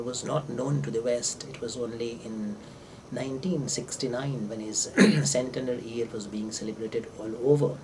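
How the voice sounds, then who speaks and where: An older man with an Indian accent and Urdu as his first language, giving an informal literary lecture in deliberate phrases with hesitations and repetitions. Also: medium pitch, a little mellow. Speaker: English professor, visiting scholar. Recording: distant microphone with clear background noise.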